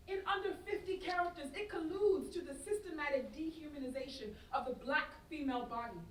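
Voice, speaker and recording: Heard from a distance in an echoey space, a fiery young London woman, acted by a woman in a staged reading speaks in a high, bright voice. She is outraged and emphatic, mixing street slang with scholarly rhetoric. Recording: distant, echoey stage recording with background noise.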